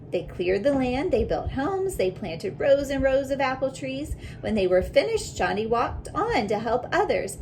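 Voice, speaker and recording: A woman reading a children's picture book aloud like a teacher, in a deliberate way, pausing to reflect and ask questions. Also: sits high, a little hiss or hum, poor audio.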